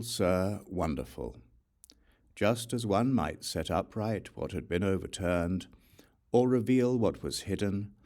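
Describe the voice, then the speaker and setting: A man reading Buddhist scripture as a scripted audiobook narration, in a measured, solemn way with deliberate phrasing. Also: deep voice, darkish tone.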